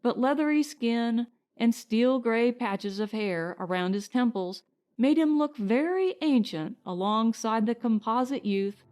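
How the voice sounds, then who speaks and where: A woman narrating a scripted story as an audiobook reader, in a measured, deliberate manner. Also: fairly high pitch, lively intonation, a little mellow.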